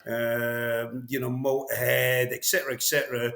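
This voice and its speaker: A middle-aged man with a Welsh accent talks through his past in a relaxed interview, looping back with fillers and half-finished restarts. His voice sits at an ordinary middle pitch. The sound carries a noticeable room echo. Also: moderate pace, gravelly. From Wales. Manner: rambling, frequent fillers and restarts. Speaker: guest, veteran drummer. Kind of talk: casual interview conversation.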